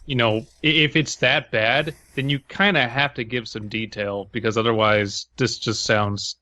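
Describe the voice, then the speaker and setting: A man's voice: mid-range voice, fairly even intonation, moderate pace, pushed and bright. A man co-hosting a podcast, chatting and critiquing a story casually. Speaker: podcast co-host. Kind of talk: casual commentary and banter.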